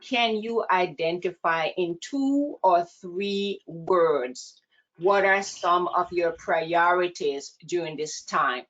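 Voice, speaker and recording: A woman with a Jamaican accent and a fairly low voice presents a counseling webinar in an earnest, measured way. Her speech comes through a video call with dull, lo-fi audio.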